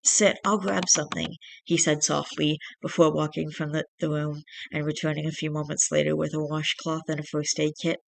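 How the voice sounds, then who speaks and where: A young man with a raspy voice and a dry throat, reading a story aloud and then chatting casually with viewers in a rambling way. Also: fairly high pitch, monotone, a little fast, hardly any pauses, no room sound, rough recording. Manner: rambling, self-correcting chat. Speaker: channel host reading fiction.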